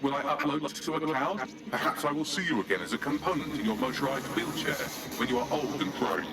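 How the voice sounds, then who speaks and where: A man's voice: on the high side, a little fast, gravelly. A man playing an articulate, superior AI assistant in a video game, speaking in precise, formal, machine-like phrases. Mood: faltering, wistful. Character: male AI assistant, articulate, superior, glitching, wistful.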